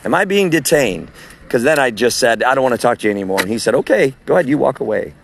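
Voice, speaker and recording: A man, a street preacher with a loud voice, recording an audio message with a phone while walking outdoors at a farmer's market, speaking in a forceful preaching cadence. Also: medium pitch, big pitch swings, fast, vocal fry, a little hiss or hum.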